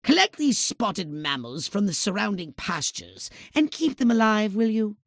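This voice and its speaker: A tiny, haughty alien commander for a video game. The voice is piercing and sharp, leaping dramatically in pitch, recorded very dry and close. The delivery is theatrical, scolding and impatient, with pompous, clipped formal diction. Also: male voice, big pitch swings, moderate pace, vocal fry, no echo, heavily acted. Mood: pompous instructing. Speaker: mission controller giving orders.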